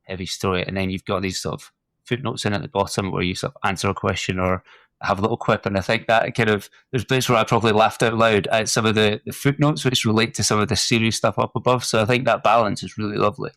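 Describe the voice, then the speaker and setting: A man's voice: fairly low pitch, fairly even intonation, fast, fairly bright tone, no room sound, clean studio sound. A middle-aged man hosting a podcast interview, chatty and encouraging, with plenty of fillers. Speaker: podcast host. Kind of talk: casual interview chat.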